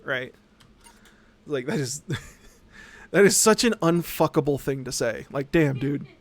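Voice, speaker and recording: Animated, higher-voiced male streamer. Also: a little slow, no room sound. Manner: animated, sarcastic, sweary storytelling; acts out mocking quotes. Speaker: livestream host. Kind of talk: casual rambling livestream chat.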